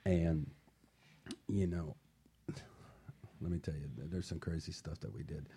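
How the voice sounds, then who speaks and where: A man's voice: very deep voice, steady tone, a little slow, warm tone, husky. A middle-aged man telling a personal story on a talk show, laid-back, with many fillers and restarts.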